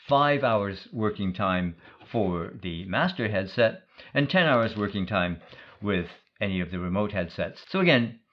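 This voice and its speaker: A man explains a product in a measured, matter-of-fact way, as a reviewer thinking aloud. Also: lowish voice, lo-fi sound.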